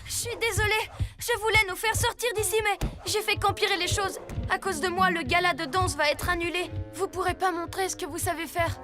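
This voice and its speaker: A woman's voice: extremely high pitch, steady tone, a little fast. A woman voicing an earnest, exclaiming six-year-old superhero boy with a childlike voice in a cartoon dub. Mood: apologetic. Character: earnest young superhero boy, around six, eager and self-doubting. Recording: loud music under the dialogue.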